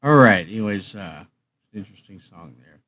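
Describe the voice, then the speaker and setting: Male livestream host, casual chat, rambling asides, slightly low pitch, animated intonation. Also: moderate pace. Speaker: streamer and host of a music channel.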